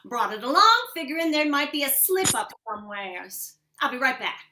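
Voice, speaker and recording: A woman's voice: sits high, big pitch swings, normal speed, sharp tone, echoey room, a little hiss or hum, full character voice. A woman playing an indignant, bossy small-town contestant in an old-time western radio play. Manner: huffy, assertive.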